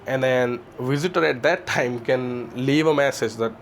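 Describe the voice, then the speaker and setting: A man's voice: medium pitch, normal speed. A man giving an informal, explanatory product demonstration, often checking in with "right?" at the end of a point. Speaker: security installer presenting a product. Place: on site at a building's entrance. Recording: clear background noise on location.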